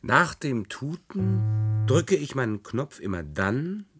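A man's voice: medium pitch, big pitch swings, slow, a bit bright, faint music behind, heavily acted. An actor playing a doubtful but compliant male test subject in a science-fiction radio play, with a terse, questioning manner.

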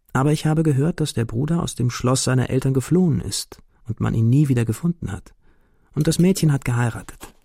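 A man's voice: medium pitch, creaky, dark tone, no echo, studio quality. A man playing a lovelorn, melancholy young man, reading his letters aloud with brooding intimacy in a radio drama. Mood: quiet, resigned.

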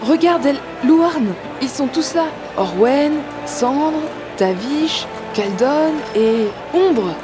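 An enthusiastic, curious adventuress in a fantasy audio drama. She talks eagerly and chattily in a fairly high voice, with music clearly behind her. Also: lively intonation, slow pace, few pauses. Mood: excited awe. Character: enthusiastic, curious female adventurer and starry-eyed fan. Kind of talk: French fantasy audio fiction dialogue.

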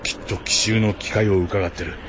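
A man's voice: deep voice, fairly even intonation, moderate pace, highs cut off, noisy background, natural voice. A man acting a grim, resolute ex-soldier who leads an expedition in a radio drama, speaking tersely with brooding intensity.

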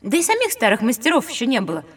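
A woman's voice: high voice, wildly swooping pitch, racing, slightly rough, dry recording, heavily acted. An actress voicing an inquisitive, wondering young girl in a scripted educational audio drama for children. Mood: admiring, wondering. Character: bright, wondering young girl on a learning adventure.

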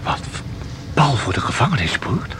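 A man's voice: medium pitch, big pitch swings, moderate pace, background noise, natural voice. An actor playing an anxious, bewildered man in a Dutch radio play, with a hesitant, stammering, questioning manner. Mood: uneasy. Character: anxious, bewildered man lost in a dark swamp.

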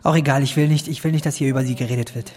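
A woman's voice: very deep voice, fairly even intonation, very fast, warm tone. A woman playing a protective, hot-headed seventeen-year-old brother in a radio drama, pleading and confrontational. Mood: accusing. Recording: roomy, echoing sound.

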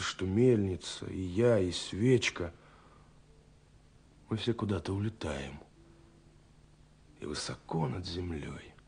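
An actor playing a romantic, dreamy young man who speaks his love letters in a lyrical, intimate radio play monologue. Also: lowish voice. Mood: wistful, intimate. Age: young adult. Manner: lyrical, intimate, swinging between hushed and exclaiming. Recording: slightly husky-sounding 1979 radio recording. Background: soft music.

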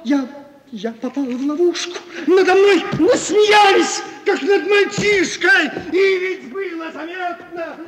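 High-voiced vain scheming gentleman. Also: full character voice. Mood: dismayed. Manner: theatrical, agitated, stammering when cornered. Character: vain mercenary handsome husband, scheming and easily panicked. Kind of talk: 1950s radio play stage acting. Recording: old echoey radio recording, distant mic.

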